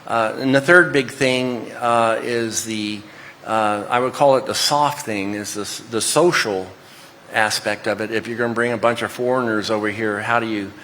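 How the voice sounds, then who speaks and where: Texan male panelist, bright tone, easygoing delivery with fillers, faint noise behind. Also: lowish voice, steady tone, moderate pace. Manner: hesitant, conversational. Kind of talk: panel discussion.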